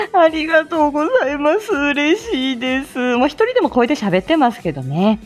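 Casting: female livestream host, bubbly and giggly, very lively intonation, casual chat over faint music. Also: high-pitched, moderate pace. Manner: bubbly, giggly, self-deprecating. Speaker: host of a live morning audio stream; speech-language therapist. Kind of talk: casual chatty livestream, greeting listeners.

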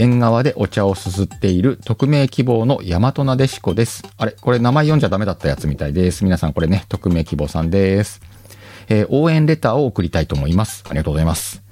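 A deep-voiced man hosting a casual solo show and reading out listener letters. His delivery swings up and down a lot, loose and chatty, with frequent laughs and small slips. Soft music plays faintly behind him. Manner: relaxed, chatty, laughs often, stumbles over words.

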